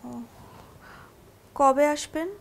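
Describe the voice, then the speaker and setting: An actress with a Bangladeshi accent playing a meek, courteous young wife in a TV drama, speaking politely and hesitantly. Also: female voice, extremely slow, slight background noise. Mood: timid, caring. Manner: polite, hesitant, soft pleading. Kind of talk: natural TV drama acting.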